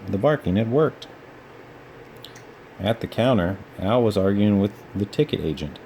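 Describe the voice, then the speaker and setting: Mellow low-voiced man reading a storybook. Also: animated, unhurried, creaky, slight background noise. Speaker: read-aloud storyteller. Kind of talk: children's storybook narration.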